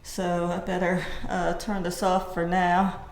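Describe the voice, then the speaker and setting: Lady doing a casual gadget demo, slow n halting, kinda low voice, echoey room. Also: little pitch movement. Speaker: product reviewer and vlogger. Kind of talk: casual product demo chat. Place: indoors at home. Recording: roomy echo, faint background noise.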